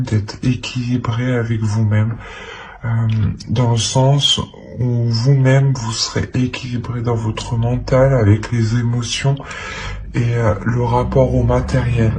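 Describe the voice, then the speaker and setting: A man's voice: medium pitch, darkish tone, slight background noise. A man giving an improvised tarot reading to the viewer, speaking hesitantly and often repeating his phrases. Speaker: tarot reader.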